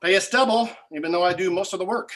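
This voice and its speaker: A man playing a smug, resentful screenwriting partner in a comedy table read, conversational and halting. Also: high-pitched, fairly bright tone. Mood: self-satisfied.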